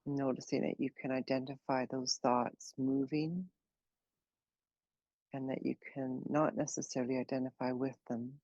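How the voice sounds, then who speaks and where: A woman leading a guided meditation, speaking slowly and gently in an unhurried way with long pauses. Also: a bass voice, little pitch movement, no room sound, muffled recording. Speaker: meditation teacher.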